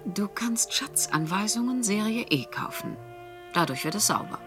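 An actress playing a shrewd, teasing confidante of a private detective in a German radio crime drama, speaking in a frank, probing way. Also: female voice, mid-range voice, animated, normal speed, over music, close to an everyday voice.

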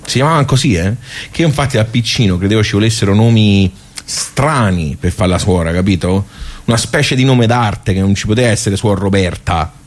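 A man's voice: lowish voice, a little hiss or hum. A man with a Tuscan accent performing a colloquial, rambling storytelling monologue, full of asides to his listeners.

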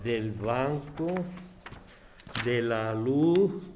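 A man's voice: medium pitch, drawn-out words, darkish tone, a little hiss or hum. An actor with an accent from Spain playing a grumpy, distrustful older man in an audio drama, curt and bossy. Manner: curt, grumbling, commanding. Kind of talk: acted audio drama dialogue.

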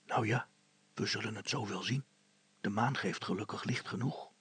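A man voicing a furtive, grumbling older father in a storybook, hissing in a hushed voice. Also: fairly low pitch, normal speed, breathy edge. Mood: wary, hushed.

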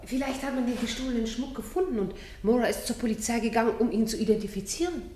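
An actress playing a shrewd, probing woman with an arch, deliberate manner in a German radio drama. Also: a little high, mellow, husky. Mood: curious. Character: shrewd, needling woman exposing a man's secrets.

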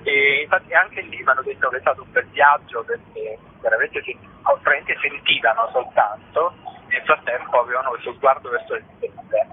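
A man, speaking reflectively as an interview guest, with halting phrasing and searching pauses. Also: medium pitch, expressive, a little hiss or hum. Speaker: guest, singer and stage performer. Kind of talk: spontaneous radio interview. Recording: muffled phone line into radio.

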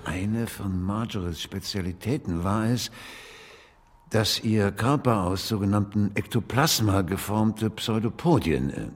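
An actor playing a proud, grieving elderly gentleman author in a German radio drama, formal and easily indignant. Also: lowish voice, big-room sound, slight background noise. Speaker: narrator and lead character.

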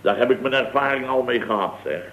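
A man's voice: mid-range voice, a little fast, close to an everyday voice. A man acting an exasperated, sarcastic company boss in an old radio comedy, grumbling emphatically. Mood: scornful. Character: blustering, exasperated, sarcastic male boss of a building firm. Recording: dull old radio recording.

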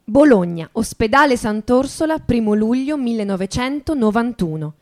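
A woman's voice: a little high, lively intonation, moderate pace, darkish tone. A woman performing a dramatic literary reading for radio theatre, narrating and acting out dialogue.

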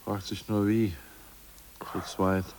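An actor playing an ousted Politburo member who answers in an offhand, skeptical way. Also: male voice, on the low side, level tone, takes it slowly, a little mellow, a little hiss or hum, poor audio. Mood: doubtful. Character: ousted male party official, doubtful and easygoing. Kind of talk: 1980s radio play acting.

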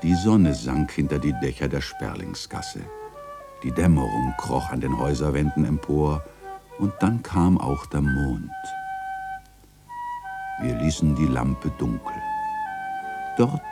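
An avuncular older scholar in a classic radio play. The voice is very low and swoops expressively. He speaks with measured, pedantic wit and gentle teasing. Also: sing-song, over music, full character voice. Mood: wistful narration. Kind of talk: German literary radio play acting.

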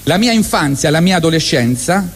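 A man's voice: fairly high pitch, a little fast, gravelly, husky, long reverb tail. Roman man telling a comic monologue on stage. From Rome, Italy. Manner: wry, deadpan Roman storytelling with acted asides. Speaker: monologue performer. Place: stage at a live benefit event.

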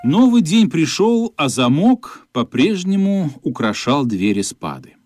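Expressive male radio-play narrator. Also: a little high, a little slow. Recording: dry studio, music behind.

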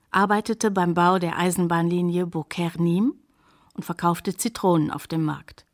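Narrator role: literary woman storyteller, lowish pitch, measured scripted delivery for radio drama. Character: female family narrator recounting a naturalist's youth.

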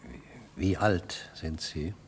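A man acting an insistent, irascible doctor in a radio play, with a gravelly, husky voice and a precise, probing delivery. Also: deep voice, slow, slight background noise. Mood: concerned, authoritative. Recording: vintage radio play recording.

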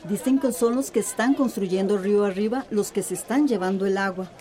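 A woman's voice: medium pitch, brisk, dark tone, a faint crowd, natural voice. A woman playing a worried, chatty village neighbour in a radio drama, gossiping and lamenting. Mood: worried, gossipy.

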